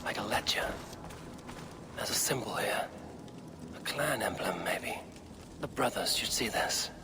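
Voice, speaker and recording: Understated male Viking warrior, swinging pitch. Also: expressive, a little slow, noisy background. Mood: hushed, musing. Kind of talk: video game dialogue.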